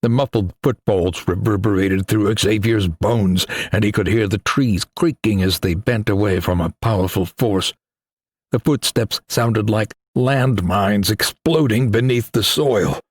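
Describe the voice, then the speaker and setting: Man, very low husky voice, grave dramatic narration, suspenseful delivery, dry close sound. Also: unhurried, no room sound. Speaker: audiobook narrator. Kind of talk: scripted dramatic horror-adventure narration.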